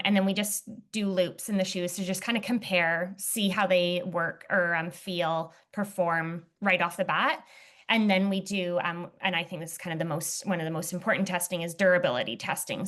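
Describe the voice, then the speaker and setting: Hesitant young woman, a little hoarse. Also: on the low side, moderate pace, fairly bright tone. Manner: hesitant, many fillers and restarts. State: slightly hoarse, clearing throat. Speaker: footwear testing lead, interview guest. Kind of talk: casual interview conversation.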